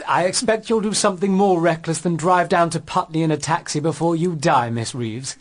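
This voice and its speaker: An actor playing a polite, devoted British gentleman suitor in a period radio drama, courteous and slightly hesitant. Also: on the high side, brisk, close to an everyday voice. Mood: teasing.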